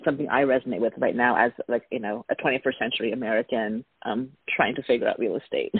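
A man's voice: medium pitch, steady tone, quick pace, slightly rough, no room sound. A man from Taiwan, a guest in a radio interview, talking thoughtfully in a rambling, casual way. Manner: thoughtful, rambling, with soft laughs. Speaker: guest artist. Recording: dry, phone-like line.

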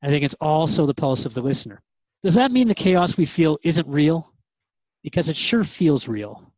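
A man's voice: fairly high pitch, animated, normal speed, a little mellow, no echo, muffled recording. A man hosting a radio interview program, conversational and reflective as he addresses listeners and his guest. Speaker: radio host.

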